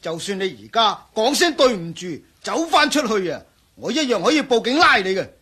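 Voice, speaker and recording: Husky, indignant, animated male professor. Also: high-pitched, brisk, exaggerated voice. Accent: Hong Kong. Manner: huffy, exclaiming, sighing. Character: indignant male professor, held at gunpoint, protesting innocence. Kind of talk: Cantonese radio drama acting.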